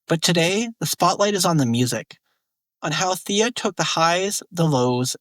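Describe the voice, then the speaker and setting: A man's voice: on the high side, no echo, low-quality recording. A male podcast host reading a scripted, upbeat introduction for a guest. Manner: upbeat, promotional.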